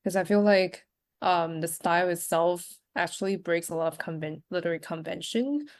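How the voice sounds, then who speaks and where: A woman with a slightly low voice talks as a podcast guest. She speaks softly and casually, with frequent pauses, ums and restarts. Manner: soft-spoken, many fillers and restarts. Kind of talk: casual conversation.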